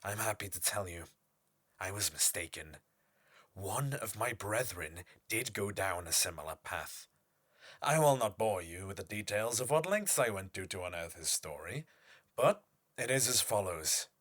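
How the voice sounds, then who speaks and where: An actor playing a pompous, obsessive typeface designer, delivering a formal, theatrical monologue for an audio horror drama. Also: male voice, lowish voice, animated, a little slow, a bit bright. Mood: confiding, intense.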